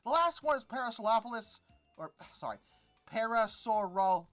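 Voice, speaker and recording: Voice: mid-range voice, lively intonation, brisk, fairly bright tone, faint music behind. A video host giving informal critique commentary in an exasperated, sarcastic and dismissive way. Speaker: host of a paleontology commentary channel.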